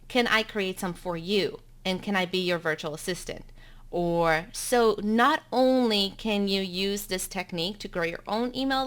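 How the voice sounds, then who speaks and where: A woman's voice: mid-range voice, a bit bright. A woman in her thirties from South Africa, Afrikaans her first language, with a South African accent, teaching bloggers in a chatty, upbeat live webinar from home, with frequent ums.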